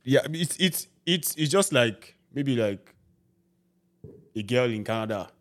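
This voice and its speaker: A man's voice: mid-range voice, measured pace. A man in his thirties with a Nigerian accent, chatting casually and acting out his reactions as he tells stories. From Nigeria (Ibadan, Lagos). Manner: animated storyteller, acts out reactions. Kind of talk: casual chat.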